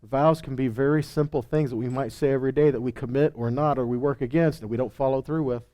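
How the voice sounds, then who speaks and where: A man's voice: mid-range voice, fairly even intonation, fast talker, dark tone. A man giving an informal Bible teaching, reading scripture and commenting in a conversational way with rhetorical questions and frequent check-ins.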